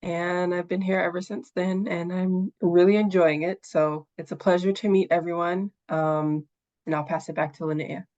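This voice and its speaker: A woman's voice: lowish voice, little pitch movement, moderate pace, a little mellow. Easygoing woman on a dull call line. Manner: casual, easygoing with fillers. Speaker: administrative assistant. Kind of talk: webinar self-introduction. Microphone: computer mic on a video call.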